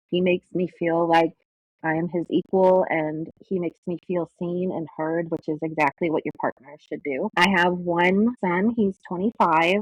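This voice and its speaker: A woman's voice: fairly low pitch, slightly rough, dry recording. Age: 40s. Sex: woman. Origin: upstate New York. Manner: frank, cussing co-host. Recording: dull, dry. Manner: frank, cusses, quick. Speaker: podcast co-host. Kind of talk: casual chat.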